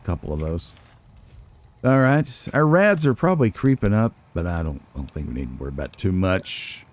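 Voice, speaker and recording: A man's voice: sits low, very animated, moderate pace. A man giving casual let's play commentary, musing and thinking aloud as he goes. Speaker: let's player.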